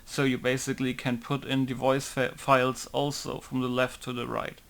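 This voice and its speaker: A man's voice: mid-range voice, flat delivery, normal speed, creaky, a bit bright. A man who makes game mods, rambling through a casual tutorial and saying "basically" again and again. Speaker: game modder. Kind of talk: casual screen-recorded tutorial.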